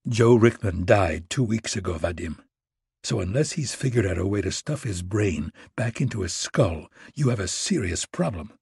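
A man voicing a cold, menacing male operative who talks low and clipped. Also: expressive, moderate pace, gravelly, darkish tone, slightly rough, no room sound, clean studio sound, full character voice.

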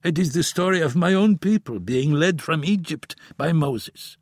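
Guy doing a shaky raspy old professor, slow and heavy, pitched up from his normal voice, dry close sound. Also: expressive, no room sound. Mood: impassioned, grave. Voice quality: raspy, slightly unsteady. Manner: slow, weary, impassioned. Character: frail elderly male professor, embittered and grave. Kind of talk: audiobook character voice.

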